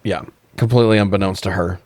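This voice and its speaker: A man's voice: deep voice, steady tone, fast talker, darkish tone, no echo. A man co-hosting a podcast, giving a casual, sardonic film recap with plenty of swearing. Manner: sardonic, profane. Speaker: podcast co-host.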